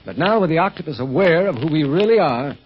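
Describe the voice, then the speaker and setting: A man's voice: fairly high pitch, lively intonation, fast, natural voice. Level-headed secret agent leader played by a man, muffled. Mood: protective. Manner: chiding, matter-of-fact, protective. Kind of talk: 1930s radio serial acting. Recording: muffled, noisy 1930s radio recording.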